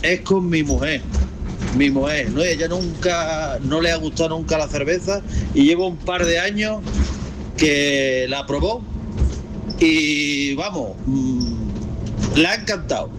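A man's voice: on the high side, slow pace, long pauses. Man on a noisy street. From Málaga, Spain. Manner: relaxed, folksy and talkative. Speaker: street interviewee, bus driver. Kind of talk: vox pop. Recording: street noise and traffic.

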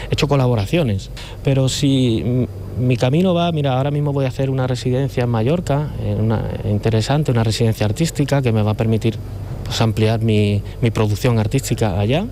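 A middle-aged painter with a Cádiz Andalusian accent, chatting as an interview guest in a loose, rambling way. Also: male voice, fairly low pitch, warm tone, a little hiss or hum. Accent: Cádiz Andalusian Spanish. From Cádiz, Spain. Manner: rambling, loose talker who often circles back. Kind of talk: relaxed conversational interview.

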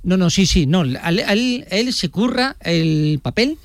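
A man, speaking a touch higher than most men. He reports in a loose, joking way, poking fun at himself. The sound is dry and close. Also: expressive, unhurried, no echo. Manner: joking, self-mocking, chatty. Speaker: correspondent. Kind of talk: casual live radio report.

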